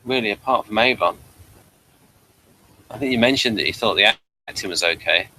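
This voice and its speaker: A man with a fairly low, bright and forward voice hosts a casual review chat. He speaks hesitantly, stopping and starting and doubling back on his words as he goes. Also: slight background noise, poor audio. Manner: hesitant, halting, repeats words. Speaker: host of a review show.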